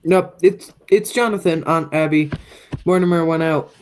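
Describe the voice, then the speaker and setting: A low-pitched, menacing criminal brother in a radio play, acted by a teenage woman. He is stubborn and bossy, insisting on getting his way. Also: normal speed, a little mellow, natural voice. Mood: cold, smug. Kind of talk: radio play acting, high school theatre.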